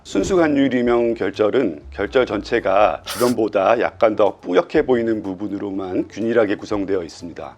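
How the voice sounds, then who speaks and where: Calm, methodical male professor explaining. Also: medium pitch. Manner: calm, methodical, with thinking fillers. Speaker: pulmonology professor. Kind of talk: explanatory medical lecture.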